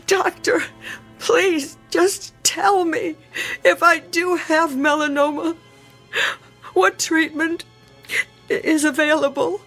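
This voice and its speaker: A woman's voice: extremely high pitch, steady tone, extremely slow, pauses often, a bit bright, faint music behind, heavily acted. An actress playing a fragile, easily frightened female patient in a radio drama, hesitant, fretful and stammering. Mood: distraught, tearful.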